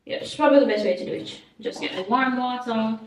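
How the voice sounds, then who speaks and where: A young woman with a Jamaican accent, slightly hoarse, rambling through her day in a casual home vlog with many ums and quiet trailing endings. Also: on the high side, quick pace, a little hiss or hum. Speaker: vlogger. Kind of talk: casual daily vlog narration. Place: at home, bathroom. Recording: echoey room, voice a bit far from the mic.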